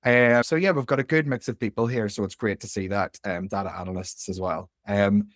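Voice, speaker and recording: Northern Irish man, late thirties, career coach on a video call, low voice, dry close sound, chatty with plenty of ums. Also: steady tone, brisk, no room sound. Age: 30s. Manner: chatty and informal, many fillers, reads out chat replies. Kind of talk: live webinar presentation. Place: home room.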